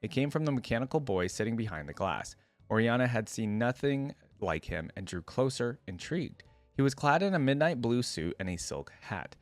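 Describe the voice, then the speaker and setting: A man's voice: medium pitch, big pitch swings, moderate pace, no echo, soft background music. A man hosting a reaction video, reading a story aloud and commenting on it casually.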